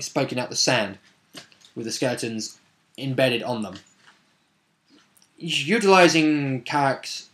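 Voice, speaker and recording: A man's voice: medium pitch, a bit bright. A man telling a casual, unscripted recap of a tabletop roleplaying session, wordy and rambling, with asides and self-corrections.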